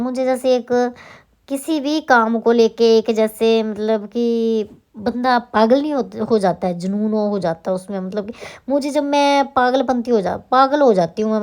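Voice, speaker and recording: A woman's voice: medium pitch, darkish tone. A woman who runs a salon, talking casually through her day with a slightly sore throat, chatty and rambling. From Himachal Pradesh, India. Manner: chatty, rambling, self-correcting. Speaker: salon owner and vlogger. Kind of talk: casual vlog narration.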